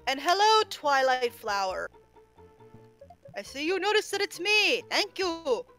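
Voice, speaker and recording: A woman with a bright, slightly high voice chats casually over a retro game stream. She laughs often and sometimes trails off while hunting for a word. Soft music plays behind her. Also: very animated, a little slow. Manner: chatty, laughs often, searches for words.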